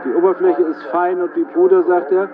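A man's voice: sits high, level tone, fairly quick, phone-quality sound, lightly acted. A man giving careful, intent live commentary in the style of a 1960s broadcast. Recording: band-limited old broadcast with crowd noise.

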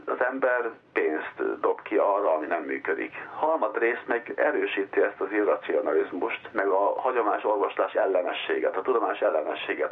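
Husky man on a thin phone line. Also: low voice. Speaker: interviewed science expert. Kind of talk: explanatory radio interview answers. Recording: muffled, phone-like radio line.

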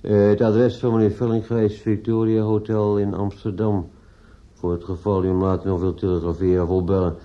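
An actor in a radio drama playing a courteous, businesslike newspaper office clerk with a measured, formal manner. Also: male voice, fairly low pitch, little pitch movement, close to an everyday voice. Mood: polite, businesslike. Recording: dull lo-fi old radio play recording.

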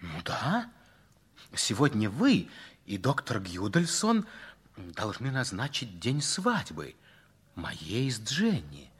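An actor playing a courteous, patient young man in a 1960s radio play, gently coaxing and animated. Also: on the low side, slow, husky, exaggerated voice. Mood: politely inquiring. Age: young adult. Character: polite, patient young nephew, engaged to be married.

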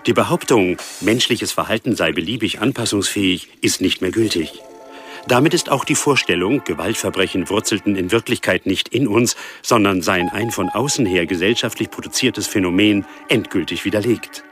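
An actor as a low-voiced, authoritative male lecturer. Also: moderate pace, a bit bright, faint music behind, lightly acted. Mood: didactic. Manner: formal, didactic. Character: authoritative male lecturer voicing an official scientific report. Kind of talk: radio drama scripted exposition.

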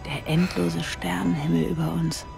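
A woman's voice: low voice, level tone, normal speed, creaky. A woman acting a dreamy, hesitant young German woman of the 1960s in a radio drama. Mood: dreamy, enchanted. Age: young adult. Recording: loud music behind the voice.

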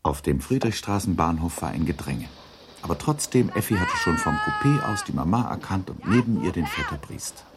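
A man's voice: deep voice, animated, normal speed, background noise. A man reading scripted literary narration for a radio drama.

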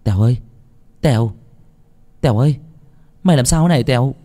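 A man's voice: medium pitch, fairly quick, mellow, voice pushed up, lightly acted. A man voicing a worried young village girl, speaking urgently and insistently in a narrated story. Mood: calling anxiously.

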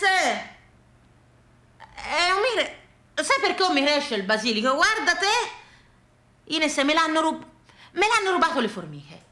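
A woman's voice: extremely high pitch, pushed and bright. A woman with a Tuscan accent performs a theatrical comic monologue, exclamatory and colloquial, repeating herself as if chatting to someone. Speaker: stage actress and storyteller. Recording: roomy, echoing live sound.

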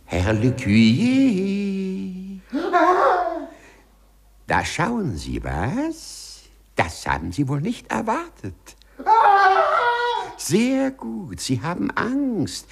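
A small office clerk with a secret spiteful streak, in old radio drama style. His delivery is slow and theatrical, leaping widely in pitch, with a husky edge. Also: wildly swooping pitch, full character voice. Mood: taunting triumph. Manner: theatrical, muttering to himself, mock-servile. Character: meek male office clerk turned spiteful, gleeful avenger. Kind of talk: 1950s German radio play acting. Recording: 1950s radio production.

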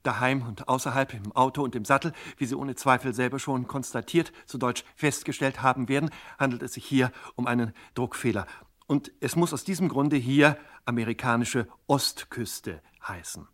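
An actor playing a pedantic, mock-official announcer narrating a comedy radio play in a pompous, convoluted manner. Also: male voice, mid-range voice, moderate pace, a bit bright, lightly acted.

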